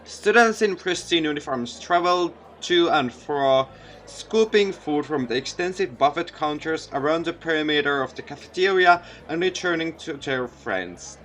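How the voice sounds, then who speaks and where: A man's voice: a little high, lively intonation, normal speed, fairly bright tone, rough recording. A man reading a visual novel aloud as a let's play, sometimes stumbling over lines and correcting himself. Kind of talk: let's play read-aloud narration with asides.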